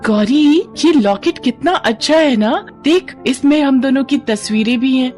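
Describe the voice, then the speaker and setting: A woman voicing a sweet, devoted little girl in a storybook tale, speaking high and excitedly. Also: a little slow, faint music behind. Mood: delighted.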